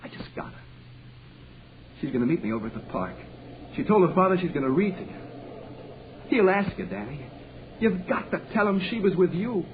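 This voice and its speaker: Earnest lovesick young American, halting and pleading, highly animated pitch, vintage radio play with clear hiss and noise. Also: male voice. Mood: urgent, pleading. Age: young adult. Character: earnest, desperate lovesick young man with a bad leg. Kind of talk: 1940s radio drama acting. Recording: noisy, tinny 1940s radio broadcast.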